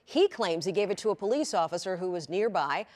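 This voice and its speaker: A woman's voice: on the low side, expressive, brisk, a little mellow. A woman with an American accent anchoring TV news, reading stories and putting questions to a guest.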